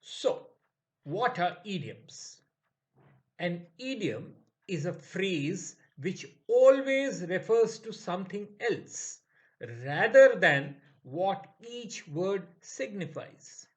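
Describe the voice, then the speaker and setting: Slow, lively-voiced man teaching English. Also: high voice. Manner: slow, measured, lively intonation. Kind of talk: scripted lesson narration.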